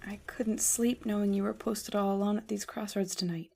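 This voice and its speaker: A woman's voice: mid-range voice, steady tone, normal speed, creaky, warm tone, slightly rough. An actress playing an anxious young woman who has lost her brother, in earnest old-time radio drama style.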